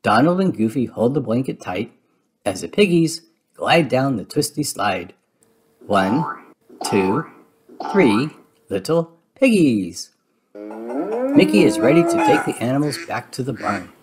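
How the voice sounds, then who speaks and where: A man reading a children's storybook aloud in expressive narration. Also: medium pitch, normal speed, background noise. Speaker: storybook reader.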